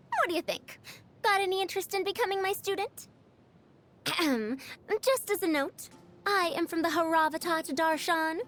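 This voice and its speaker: A woman's voice: sits high, fairly bright tone. A woman voicing a proud, fussy female scholar in a video game, old in years but youthful, lecturing in a prim, pompous manner. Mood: proud, self-promoting. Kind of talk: scripted video game character lines.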